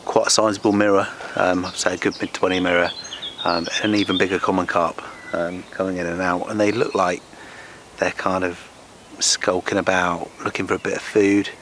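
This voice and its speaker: A man's voice: deep voice, flat delivery, fairly quick. A man chatting casually and informally to camera as a fishing vlogger. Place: outdoors on a wooded lake bank. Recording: outdoor nature noise behind voice.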